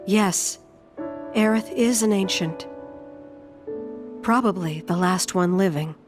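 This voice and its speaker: An actress playing a weary, protective middle-aged mother in a video game, measured and plain-spoken. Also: medium pitch, over music, lightly acted. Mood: resigned, sad.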